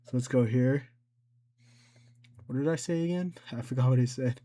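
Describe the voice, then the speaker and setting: A man's voice: mid-range voice, normal speed, mellow. Casual man thinking aloud through a tutorial. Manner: rambling, thinking aloud as he works. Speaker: tutorial presenter. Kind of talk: casual screen-recorded software tutorial.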